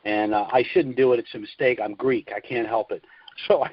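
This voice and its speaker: A man's voice: mid-range voice, brisk, dry recording. A middle-aged man talks as the host of a call-in conference. His delivery is informal and chatty, like someone telling stories. The audio is dry and muffled, a lo-fi conference line. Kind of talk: casual chat and storytelling.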